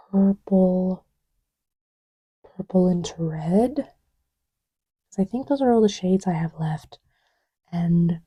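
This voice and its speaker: A woman playing an easygoing girlfriend in a soft ASMR roleplay, rambling hesitantly in a breathy murmur that often drops to a whisper. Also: medium pitch, no echo, lo-fi sound. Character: easygoing girlfriend chatting idly while testing markers.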